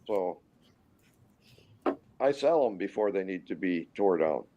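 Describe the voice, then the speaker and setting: A man in his sixties from Minnesota, a guest pilot telling stories in a rambling, halting way with many restarts. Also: low voice, expressive, dark tone, dull audio. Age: 60s. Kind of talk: casual storytelling interview.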